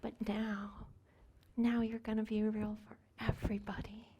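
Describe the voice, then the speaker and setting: A woman's voice: mid-range voice, flat delivery, moderate pace, mellow, slightly rough, close to an everyday voice. A woman playing a kind, magical nursery fairy in a storybook reader's theater performance, with music behind her. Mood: tender, hushed.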